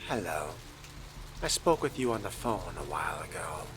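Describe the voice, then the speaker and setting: A man playing a low, hushed, courtly vampire. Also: sing-song, background noise. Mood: polite, probing. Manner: formal, genteel, measured wording. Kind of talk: in-character game roleplay narration.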